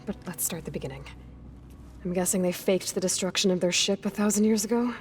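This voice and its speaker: A woman's voice: on the low side, a little fast, a little mellow, faint music behind, natural voice. A woman acting a determined young warrior heroine in a video game, direct, practical and plain-spoken in a naturalistic style. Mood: probing, serious.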